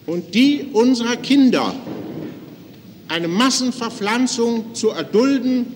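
Old 1940s archive speech, man with high voice, very slow and solemn, big hall echo. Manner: solemn, declamatory. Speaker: politician. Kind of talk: 1940s formal political speech. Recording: 1940s archive recording, noisy reverberant hall.

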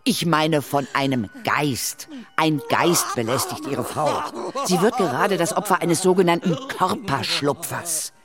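A woman playing an experienced, composed ghost hunter in a children's radio play, explaining things deliberately and tactfully. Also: low-pitched, animated, over music. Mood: tactful, urgent.

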